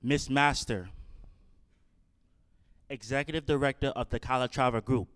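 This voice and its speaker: A man with a smooth, clear, medium-pitched voice reads scripted narration and stage directions. He announces things one by one in a measured way, and the sound is dry. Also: fairly bright tone, dry recording.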